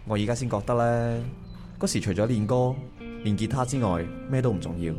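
A man's voice: mid-range voice, fairly quick, a little mellow, close to an everyday voice. A man acting as a regretful, hesitant married man in a Cantonese radio drama, talking conversationally. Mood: wistful. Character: regretful, hesitant married man meeting his first love. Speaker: voice actor playing the male lead. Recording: music under the dialogue.

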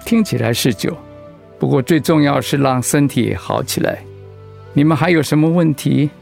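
An actor playing a calm, measured male doctor in a radio drama, explaining things professionally. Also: on the high side, vocal fry, faint music behind. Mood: measured, advising.

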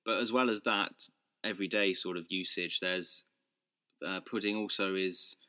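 Podcast co-host: a young man with a low, bright voice, calm and explanatory. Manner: measured, explanatory, many restarts. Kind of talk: casual chat.

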